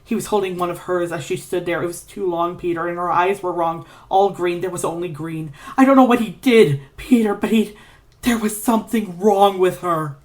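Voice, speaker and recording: A woman's voice: lowish voice, a little mellow, voice pushed up, close to an everyday voice. A terrified young man played by a woman in a dramatic story, stammering and pleading. The sentences break off and circle back as the horror is recounted. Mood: shaken, halting. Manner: halting, repetitive, breaking off mid-sentence. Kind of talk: dramatic fan fiction reading.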